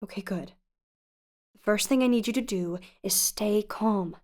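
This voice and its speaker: A woman's voice: medium pitch, a little slow, darkish tone, lightly acted. A woman acting as an earnest, no-nonsense guide in an audio drama, speaking with urgent, stern emphasis. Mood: urgent, reassuring. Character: earnest, no-nonsense woman guiding a reckless youngster.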